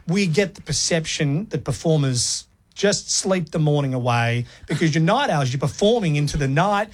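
A man's voice: a little high, animated, moderate pace. An Australian man co-hosting a breakfast radio show, effusive and upbeat as he introduces guests. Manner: enthusiastic, effusive. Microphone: studio microphone.

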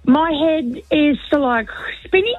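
Middle-aged mum on a phone line. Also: female voice, high-pitched, expressive, unhurried, no room sound. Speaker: caller. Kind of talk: radio call-in chat.

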